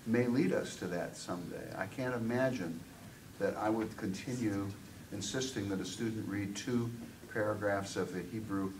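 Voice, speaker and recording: Male audience member, low voice picked up from afar in a noisy room, discursive and full of asides. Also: moderate pace. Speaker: audience member, college tutor. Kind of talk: commenting from the floor at a seminar. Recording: distant mic, noisy room.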